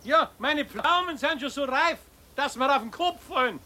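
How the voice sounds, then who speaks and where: High-voiced, puzzled older Bavarian neighbour. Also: male voice, animated, moderate pace, pushed and bright, full character voice. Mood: chatty. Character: puzzled, good-natured older neighbour with a plum tree. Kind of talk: 1970s children's radio play acting.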